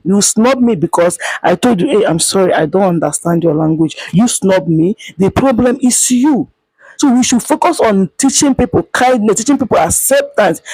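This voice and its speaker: Nigerian woman, vlogger, emphatic and scolding, kept quiet outdoors, raspy tone, dry sound. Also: medium pitch, no echo, rough recording. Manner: speaking quietly. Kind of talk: impassioned monologue to camera.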